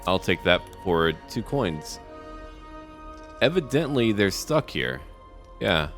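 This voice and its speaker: A man hosting a roleplay gameplay video, recapping and musing aloud in a measured way as he plays. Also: lowish voice, sing-song, fairly bright tone, faint music behind. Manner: measured, musing, talks to himself. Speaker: let's play host. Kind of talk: narrated roleplay gameplay commentary.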